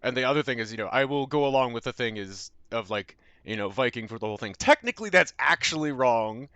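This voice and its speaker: A man's voice: medium pitch, lively intonation, quick pace, a bit bright, clean tone, dry recording, muffled recording. A young Canadian man with a Canadian accent, chatting casually with his stream viewers in a rambling, loose way with plenty of fillers and casual swearing. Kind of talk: casual chat with viewers while gaming.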